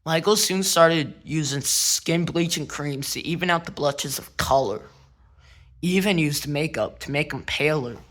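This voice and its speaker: A man reads a biography aloud in a slightly high voice. He takes his time, setting out each phrase in a plain, measured storytelling way. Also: fairly bright tone. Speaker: narrator and show host. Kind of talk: read-aloud biography narration.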